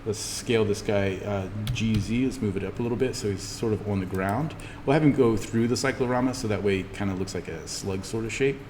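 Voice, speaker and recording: A man giving an informal in-class software tutorial as the instructor, thinking aloud haltingly as he demonstrates. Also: on the low side. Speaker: college instructor. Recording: reverberant room, clearly noisy background.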